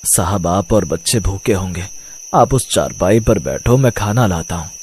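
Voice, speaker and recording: A man voicing an old male roadside eatery keeper in a cartoon story, speaking gravely and cryptically. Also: deep voice, expressive, normal speed, a little mellow, a little hiss or hum. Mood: quietly hospitable. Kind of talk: cartoon moral story acting.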